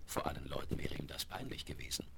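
A man's voice: a bass voice, fast, gravelly, in a whisper. A man narrating a children's radio play in a scripted storybook style. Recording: very noisy vinyl record rip.

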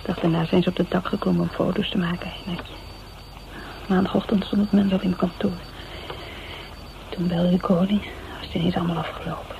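A resigned, plain-spoken character with a Netherlands Dutch accent talks conversationally, as if confiding. Her voice is noticeably creaky. The radio play recording is muffled and noisy. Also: on the low side, level tone, brisk, pauses often. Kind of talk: radio drama acting.

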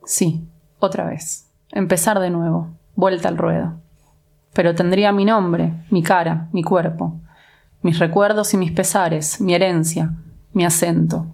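A woman in a writing workshop introducing and reading her own untitled poem, slow and deliberate. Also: on the low side, steady tone, creaky, darkish tone.

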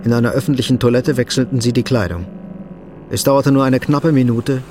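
A man narrating a scripted radio drama in a measured, literary way. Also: mid-range voice, steady tone, a little mellow, a little hiss or hum.

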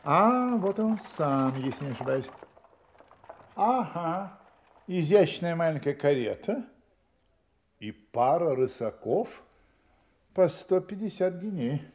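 A lively, self-assured detective. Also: male voice, fairly high pitch, exaggerated voice. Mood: confident, deductive. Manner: brisk, prompting, theatrically confident. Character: shrewd, self-assured gentleman detective, quick and amused. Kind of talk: Russian audio play acting.

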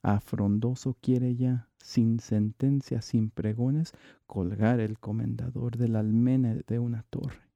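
A man recites a dramatic monologue in classical verse. His voice is very dark and soft-edged, with a gravelly creak and an unhurried pace. The sound is close and dry. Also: medium pitch, level tone, dry recording, muffled recording. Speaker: monologue reader.

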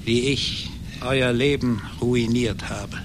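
An actor in a radio play playing a vengeful, obsessed genius inventor who speaks haltingly and theatrically. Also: male voice, medium pitch, steady tone, background noise, close to an everyday voice. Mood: gloating, obsessed. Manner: halting, theatrical, repeats words for emphasis.

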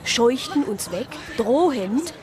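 Eine Schauspielerin spielt eine Diakonisse, die als Ich-Erzählerin in einem Hörspiel von ihren Erlebnissen berichtet. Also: female voice, fairly high pitch, lively intonation, takes it slowly, crowd noise. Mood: calm recounting. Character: anxious deaconess recounting her adventures abroad. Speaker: first-person narrator. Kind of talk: radio play narration and dialogue.

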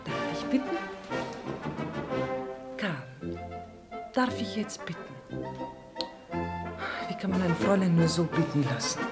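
A woman's voice: on the low side, very animated, takes it slowly, long pauses, over loud music. A woman playing a coaxing, taunting young woman in a radio drama.

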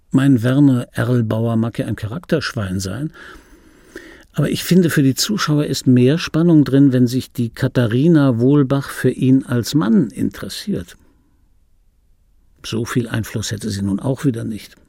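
An actor playing a wry, world-weary middle-aged film dubbing actor, reminiscing in a dry, laconic, intimate radio play monologue with a gravelly, husky voice. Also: male voice, mid-range voice, warm tone, no room sound. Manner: dry, laconic, musing aloud. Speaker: radio play actor, first-person narrator.

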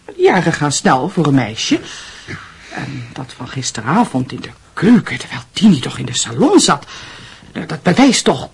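An actress playing a meddling middle-aged aunt, breathy and hesitant, earnestly reproachful in a radio drama. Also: female voice, low voice, sing-song, moderate pace, heavily acted. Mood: confiding, persuasive. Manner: hesitant, moralising, insinuating.

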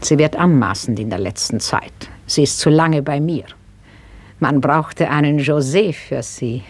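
An actress playing a proud, pious old mistress of a house in a radio play, musing theatrically and easily aggrieved. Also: female voice, low voice, a little slow, slightly rough, dry recording. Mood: musing, peevish. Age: older. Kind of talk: 1960s German radio play acting.

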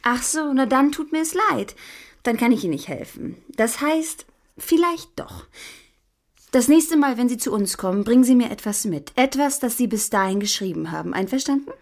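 Eager, high-voiced schoolgirl. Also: expressive, moderate pace. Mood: inquisitive, bossy. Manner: direct, eager, quizzing, familiar. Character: eager, forthright eighteen-year-old schoolgirl, bossily friendly.

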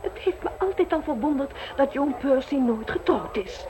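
A woman's voice: high-pitched, animated, normal speed, background noise. An actress playing a gossipy, prim, scandalized upper-class mother in an old radio play. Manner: dramatic, prim, exclamatory.

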